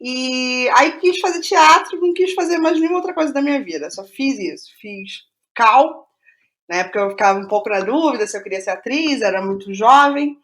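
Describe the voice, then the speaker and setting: A middle-aged woman with a Rio de Janeiro Brazilian accent, telling her story casually as an interview guest, halting and repeating phrases for emphasis. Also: fairly high pitch, fairly bright tone. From Rio de Janeiro state, Brazil.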